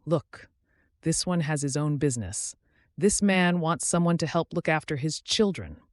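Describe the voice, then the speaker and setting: A man voicing a warm, eager young woman with an affectionate, earnest manner in an audiobook. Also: on the high side, normal speed, dry recording, studio quality, close to an everyday voice. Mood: curious.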